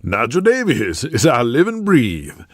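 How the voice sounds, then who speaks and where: A man's voice: mid-range voice, wildly swooping pitch, measured pace, no room sound, clean studio sound. A man voicing a genial, swaggering cowboy wrestler with folksy talk in an audio drama. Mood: teasing.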